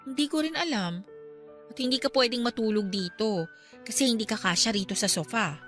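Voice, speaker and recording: A woman's voice: on the high side, brisk, fairly bright tone, close to an everyday voice. A Filipino actress in a radio drama playing a caring, exasperated young girlfriend, nagging yet tender. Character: caring, exasperated young girlfriend looking after her man. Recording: music behind.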